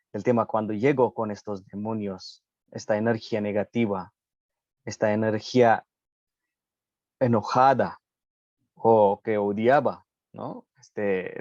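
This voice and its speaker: Hombre pausado de la India, sonido apagado. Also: male voice, fairly low pitch, darkish tone, dry recording, lo-fi sound. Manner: halting, searching for words, frequent pauses. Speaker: Buddhist practitioner guest. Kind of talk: reflective spiritual conversation.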